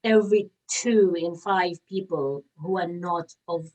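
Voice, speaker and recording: A woman with a fairly low voice, heard at some distance from the microphone, hosts an interview. She talks conversationally and stays engaged, restating points and asking questions. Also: measured pace, mellow. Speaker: interview host. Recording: voice distant from the mic.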